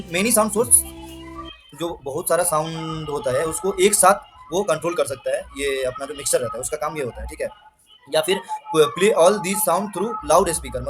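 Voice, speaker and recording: A man with an Indian accent giving an informal technical lesson, explaining things repetitively with frequent check-in tags. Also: fairly high pitch. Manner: mixes Hindi with English technical terms. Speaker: instructor presenting an explainer video.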